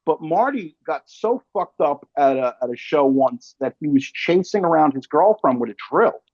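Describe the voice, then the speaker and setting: A man's voice: a little high, lively intonation, normal speed, darkish tone, dry recording, muffled recording. A man hosting a casual podcast chat, telling stories in slangy, sweary talk with quoted asides. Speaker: podcast host.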